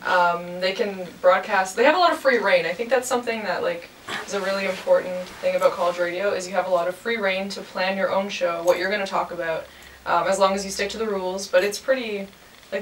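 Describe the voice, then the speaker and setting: A woman's voice: medium pitch, quick pace. A woman in her twenties answering interview questions in a casual, informal, hedging way. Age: 20s. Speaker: college radio station manager, interview guest. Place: indoor lounge with amps and instruments. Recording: roomy, distant mic, faint noise.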